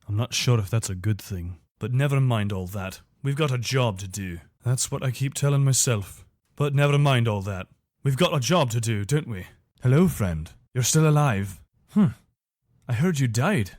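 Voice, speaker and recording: A man's voice: mid-range voice, a little mellow, no echo, clean studio sound, lightly acted. A man playing a loyal, weary imperial soldier in a fantasy video game, speaking in an earnest, conversational, soldierly way. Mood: reflective, earnest, then wry, friendly. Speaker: voice actor for a game character. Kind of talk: video game character dialogue.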